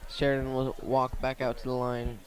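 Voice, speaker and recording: Guy doing radio football play by play outside, pretty monotone, midrange voice. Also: mid-range voice, normal speed, noisy background. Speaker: play-by-play commentator. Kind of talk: live radio sports commentary. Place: outdoors at a high school football field.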